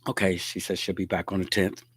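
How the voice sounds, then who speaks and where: A middle-aged man hosting his podcast alone, hoarse and relaxed, chatting to viewers and answering their comments. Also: deep voice, a little fast. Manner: laid-back, talks straight to viewers, reads out comments. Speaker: podcast host. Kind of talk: casual solo livestream chat.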